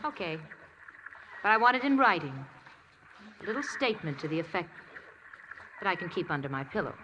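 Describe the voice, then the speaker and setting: A cool, calculating woman killer in a vintage radio detective story. She bargains with a cynical, sardonic edge. The old 1940s recording has clear noise around her voice. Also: lowish voice, big pitch swings, a little fast. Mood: wary bargaining. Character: cynical, scheming femme fatale murderess in a detective story.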